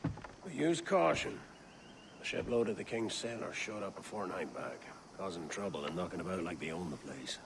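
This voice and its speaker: An actor playing a gruff, boisterous, mocking pirate veteran in a video game, full of salty talk. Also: male voice, low-pitched, lively intonation, normal speed. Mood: cautioning. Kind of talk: cinematic video game acting. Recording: clear background noise.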